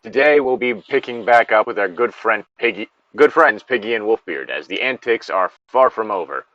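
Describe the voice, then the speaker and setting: A man with a lowish voice that swings up and down animatedly, recorded dry on a slightly muffled mic. He co-hosts a reading show, drifting from the story into sardonic, sweary, rambling asides. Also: lively intonation, moderate pace, fairly bright tone, no echo. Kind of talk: casual chat and commentary mixed with reading a story aloud.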